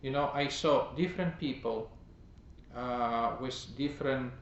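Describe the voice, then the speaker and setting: A man, a priest, giving a teaching sermon in a slow, halting way, pausing often and repeating words for emphasis. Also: medium pitch. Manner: slow, halting, with many pauses and fillers. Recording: roomy, dull, noisy recording.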